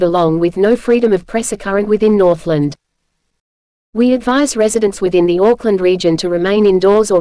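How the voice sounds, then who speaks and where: Female announcer, soft dark tone, mid pitch. Formal, measured delivery of a scripted government emergency alert. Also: no room sound.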